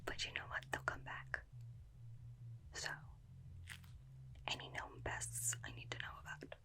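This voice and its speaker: A woman playing a chatty, suspicious, inept vampire slayer in a softly whispered ASMR roleplay, recorded close on a binaural microphone. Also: measured pace, natural voice. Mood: chatty, curious. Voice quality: soft, breathy whisper. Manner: rambling, confiding, questioning.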